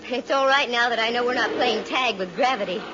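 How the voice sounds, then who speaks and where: A woman's voice: fairly high pitch, fairly quick, noisy background, lightly acted. A woman playing a wisecracking lady detective. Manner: wisecracking, slangy. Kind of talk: 1940s radio acting and narration. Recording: dull old radio transcription with music.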